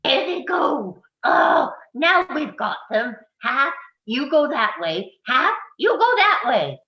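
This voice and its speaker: A woman's voice: high-pitched, big pitch swings, heavily acted. A woman voicing a wicked, gloating witch villain who taunts and barks commands in a theatrical screenplay read. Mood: frantic commanding. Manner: menacing, commanding, drawn-out taunting. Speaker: table read actor. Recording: roomy, dull lo-fi microphone sound.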